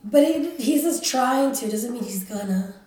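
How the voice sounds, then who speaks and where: A woman's voice: fairly high pitch, expressive, a little slow, a little mellow. A young woman co-hosting a casual chat podcast, joking bluntly and laughing often. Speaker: podcast co-host. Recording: very echoey room, distant mic.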